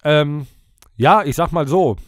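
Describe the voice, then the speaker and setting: A man with a fairly high voice chats as a podcast co-host. He tells stories casually, and the sound is close and dry. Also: slow, dry recording. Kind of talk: casual storytelling and banter.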